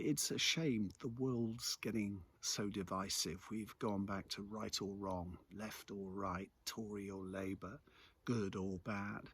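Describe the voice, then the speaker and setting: Middle-aged English male, slightly deep and rough-edged, for a reflective, conversational solo commentary. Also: a bit bright. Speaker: local commentator and host. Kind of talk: reflective spoken monologue.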